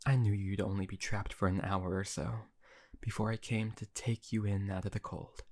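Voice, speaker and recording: A man's voice: fairly low pitch, flat delivery, moderate pace, darkish tone, natural voice. A man playing a possessive, cruel yet doting male emperor in an audio roleplay, speaking in a silky, condescending way that often drops to a whisper. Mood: gloating.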